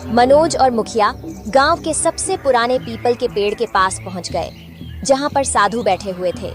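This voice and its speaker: High-voiced woman narrating a children's story. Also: moderate pace, soft background music.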